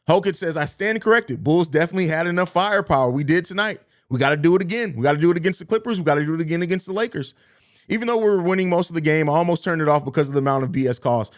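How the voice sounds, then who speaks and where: A man hosting a sports podcast live stream, reading viewer comments aloud and reacting to them in a relaxed, conversational way. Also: a little high, racing.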